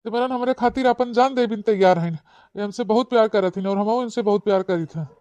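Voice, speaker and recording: Man acting a high-pitched callous Awadhi husband. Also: brisk, darkish tone, smooth, dry recording, natural voice. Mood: scornful. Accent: eastern Uttar Pradesh, Awadhi. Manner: taunting, dismissive, blunt. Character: callous, boastful village husband infatuated with another woman. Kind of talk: regional cartoon comedy dialogue. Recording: dull, dry lo-fi voice track.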